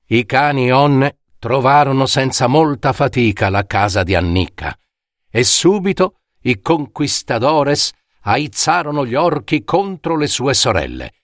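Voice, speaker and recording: A man narrating a scripted fairy tale in a measured, dramatic way with deliberate pauses. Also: medium pitch, no echo, clean studio sound. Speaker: storyteller.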